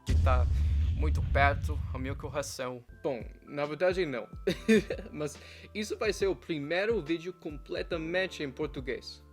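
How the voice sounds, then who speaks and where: A young American man, a native English speaker with an American accent, telling a personal story in a cheerful, chatty vlog manner with frequent laughs. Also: measured pace. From United States. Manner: cheerful, chatty, laughs often, lively pitch jumps. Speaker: language vlogger. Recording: faint background guitar music.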